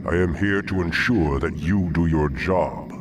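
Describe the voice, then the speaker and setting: An actor playing a scornful demonic dreadlord in a fantasy video game, speaking with condescending menace. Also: male voice, low voice, measured pace. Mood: sneering menace. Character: scornful, huge demonic male dreadlord overseer. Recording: loud noisy ambience behind voice.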